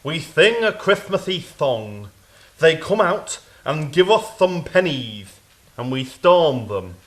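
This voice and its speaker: A male actor playing a gloomy, stubborn soldier with a deliberate, comically earnest manner in an audio drama. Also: a little high, big pitch swings, low-quality recording. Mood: weary, stubborn.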